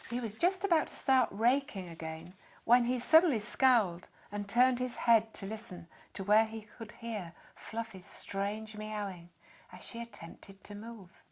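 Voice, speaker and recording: A woman narrating a children's story in an expressive, scripted storytelling style. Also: medium pitch. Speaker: storyteller reading a short story.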